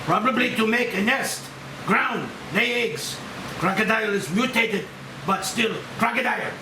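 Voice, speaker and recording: A man's voice: sits high, measured pace. A man playing an earnest scientist doctor who speaks in clipped, broken English at a screenplay table read. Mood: earnest, insistent. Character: Japanese scientist doctor, earnest expert on a mutated monster. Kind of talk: table read of a monster movie. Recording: roomy, distant mic, air-conditioning hiss.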